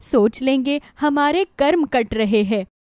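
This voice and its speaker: A woman's voice: high-pitched, expressive, measured pace, darkish tone, dry recording, clean studio sound. A woman voicing a meek, wronged elderly mother-in-law in a cartoon story, plaintive and resigned. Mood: sad, resigned. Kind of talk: Hindi cartoon moral-story dialogue.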